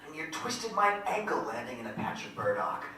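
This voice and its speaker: A man playing a narrator who recalls his boyhood adventure in vivid, literary, measured first-person storytelling on stage. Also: mid-range voice, very animated, pushed and bright, recorded from a distance. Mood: tense, vivid. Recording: very echoey room from afar, faint noise.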